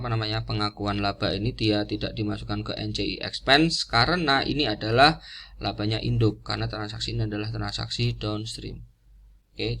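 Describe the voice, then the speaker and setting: A man giving an informal explanatory lecture in a didactic, halting way, repeating key phrases as he goes. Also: fairly low pitch, gravelly, fairly bright tone. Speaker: accounting lecturer.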